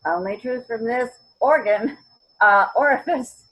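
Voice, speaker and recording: A woman's voice: fairly high pitch, measured pace, slightly rough, highs cut off. A woman acting a stubborn, principled, wry elderly mother in a readers theater drama, often searching for words and then stressing them. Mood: firm, wry. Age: older. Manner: word-searching, emphatic, wry.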